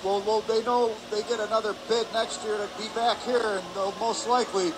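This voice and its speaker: A man's voice: high-pitched, level tone, a little fast. A man giving live hockey analysis as a color commentator on a game broadcast. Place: indoor ice hockey arena. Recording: noisy arena broadcast with ambient hum. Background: clear ambient arena noise.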